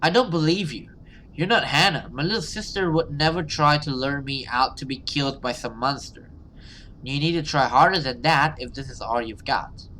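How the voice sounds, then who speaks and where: A man's voice: mid-range voice, moderate pace, pushed and bright, a little hiss or hum, close to an everyday voice, poor audio. A man voicing a brave, protective young woman from a horror game, earnest and reassuring. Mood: defiant.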